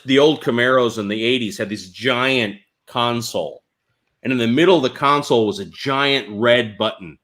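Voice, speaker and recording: A man talks casually with a sharp, forceful edge to his voice. His pitch sits in the middle. He tells stories in an animated, informal way. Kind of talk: casual storytelling chat.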